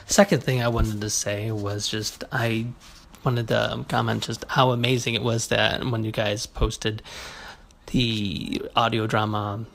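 A man leaving a casual, earnest listener message in a halting, hesitant way. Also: on the low side, level tone, creaky, fairly bright tone. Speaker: listener calling in with feedback. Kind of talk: casual spoken voicemail.

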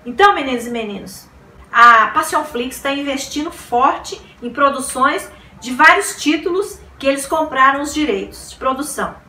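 Woman in her 40s, vlog host; high, bright, excitable; roomy, slightly distant room sound with faint hiss. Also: normal speed. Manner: gushing, exclaims and repeats words. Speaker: book vlogger. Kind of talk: casual chat to camera. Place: small decorated room indoors.

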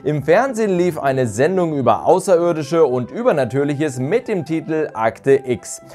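A man, narrating a scripted review in measured, carefully worded storytelling as a channel host. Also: a little high, very animated, a little mellow. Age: 30s. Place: small furnished room, indoors. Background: background music.

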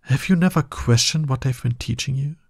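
ASMR roleplay villain, played by a man: male, smug and teasing, breathy low-key delivery, very dry close sound. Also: a little mellow, dry recording, close to an everyday voice. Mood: scornful, intense. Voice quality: husky, breathy. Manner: slow, condescending, teasing. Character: smug, seductive, dominant male villain courting his hero nemesis.

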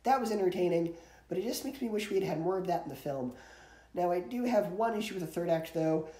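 A man's voice: sits high, expressive, fast, mellow. A man, giving a casual, opinionated scripted movie review as a countdown. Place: small indoor bedroom with posters. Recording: roomy, echoey home recording.

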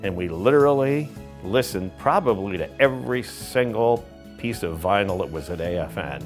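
Brief: older man, very low voice, relaxed storyteller, faint music behind. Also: moderate pace. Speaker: interviewee. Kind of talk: casual recollection.

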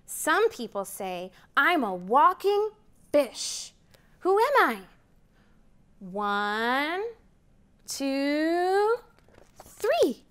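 Lively, high-voiced woman reading a storybook. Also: very slow, pauses often. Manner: animated storytime reading with rising counts. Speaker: children's librarian. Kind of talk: reading a picture book aloud to children. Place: library program room, indoors.

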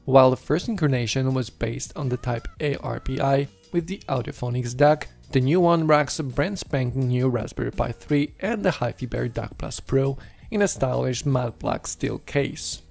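Male tech presenter for scripted tutorial voice-over, noticeable vocal fry, music bed underneath. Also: mid-range voice, normal speed, darkish tone. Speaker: tech video host. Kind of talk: scripted how-to voice-over narration. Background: background music.

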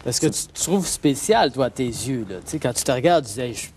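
A middle-aged man with a Quebec French accent, co-hosting a comedic mock interview on a TV studio set with jumpy, animated questions. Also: medium pitch, fairly quick, slight background noise, natural voice. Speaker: comic co-host of a mock interview show.

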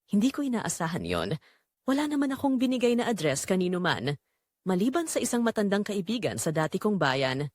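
A woman performs a remorseful young woman with a Filipino accent, telling her own story in an audio drama. Her medium-pitched voice moves expressively up and down. The delivery is earnest, reflective and wounded, in a very dry recording. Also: sing-song, brisk, a little mellow, no echo. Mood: pained, subdued. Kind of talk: scripted first-person dramatic narration in Tagalog audio drama.

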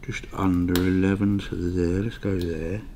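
A middle-aged man with a very low voice talks through a workshop job. He is casual and offhand, tacking little questions onto his sentences. Clear background noise fills the garage around him. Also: level tone, measured pace, warm tone. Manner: offhand, often ends on tag questions. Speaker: workshop mechanic demonstrating metal fabrication. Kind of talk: casual hands-on tutorial. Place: large garage workshop, indoors.

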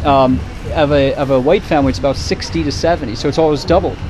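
A man conducting a street interview outdoors, speaking earnestly and deliberately and repeating his points. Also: mid-range voice, steady tone. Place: outdoors in front of row houses. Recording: noisy outdoor street, passing vehicles.

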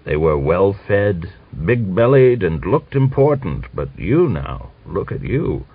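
A man's voice: on the low side, very animated, pitched above the performer's own voice, exaggerated voice. A man doing a bitter ancient old man. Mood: scolding. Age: older. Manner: scolding, preachy, slow scripture reading. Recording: dull dry recording.

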